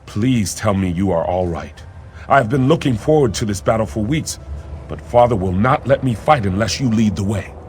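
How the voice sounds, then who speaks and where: Man playing a low-voiced, earnest warrior prince. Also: animated, moderate pace, darkish tone, slight background noise, lightly acted. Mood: earnest, urging. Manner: formal, earnest phrasing. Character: eager young warrior prince, loyal younger brother. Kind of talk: video game character acting.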